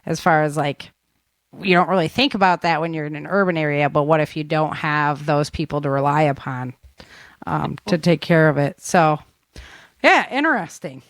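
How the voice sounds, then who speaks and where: A woman's voice: sits low, normal speed, no echo, clean studio sound. A woman hosting a podcast in a casual, rambling, conversational interview style. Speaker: podcast host.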